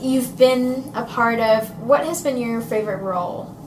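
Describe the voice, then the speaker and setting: A fairly high-pitched woman interviewer speaks slowly and offers encouraging responses. She sounds far from the mic in a reverberant room. Clear background noise runs throughout. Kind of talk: casual interview chat. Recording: distant roomy recording with background noise.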